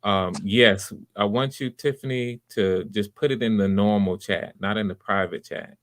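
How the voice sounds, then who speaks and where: A man with a fairly low voice hosts a casual live stream, picked up close and dry. He is supportive and unscripted, often doubling back on his words as he explains things. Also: a bit bright, dry recording. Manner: encouraging, supportive; halting, repeats words and backtracks. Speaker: host running live voice auditions.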